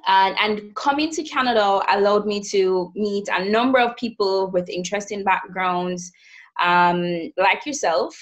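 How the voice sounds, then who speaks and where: A woman from Jamaica, a business leader, talking as a podcast guest with many fillers and restarts. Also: mid-range voice. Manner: warm, with frequent fillers and repeated starts. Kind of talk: conversational interview.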